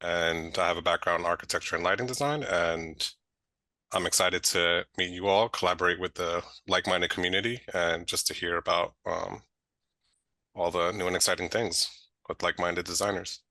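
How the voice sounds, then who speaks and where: A man with a very low, level voice introduces himself on a video call. His tone is bright and edged, and the sound is dry and close. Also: fairly even intonation, moderate pace, no echo. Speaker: senior lighting designer.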